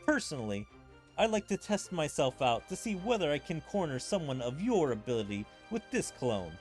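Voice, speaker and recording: A man's voice: fairly high pitch, very animated, moderate pace, faint music behind. A man voicing a composed, calculating fighter from an anime game, reading in a formal, theatrical way.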